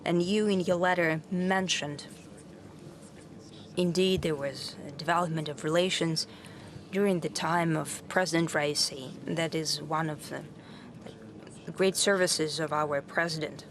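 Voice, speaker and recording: A woman interpreting at a press meeting, formal and measured, with occasional restarts. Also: sits low, fairly even intonation, noisy background. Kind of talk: simultaneous interpretation.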